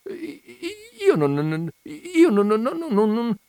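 A man's voice: very high-pitched, huge pitch swings, slow pace, gravelly, mellow, dry recording, studio quality, pitched above the performer's own voice, exaggerated voice. A man doing a stammering flustered clerk. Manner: stammering, hesitant.

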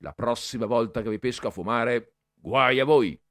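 A man's voice: lowish voice, moderate pace, a little mellow, no room sound, clean studio sound, lightly acted. A man voicing a stern, strict middle-aged male professor who scolds the boys severely. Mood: stern scolding.

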